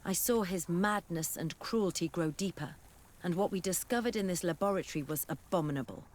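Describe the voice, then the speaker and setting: A woman's voice: on the low side, brisk, a little mellow, close to an everyday voice. An actress playing an earnest, principled female scholar with a grave, formal way of speaking in a fantasy game. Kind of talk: scripted fantasy game acting.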